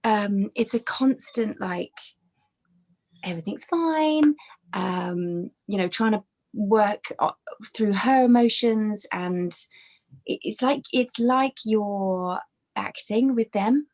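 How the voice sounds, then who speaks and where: A woman's voice: medium pitch, slow pace, darkish tone. A woman in her thirties, a podcast guest on a webcam video call from her bedroom, talking casually with many restarts and fillers. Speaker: podcast guest, fitness trainer. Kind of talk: casual conversational interview. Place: bedroom with brick wall.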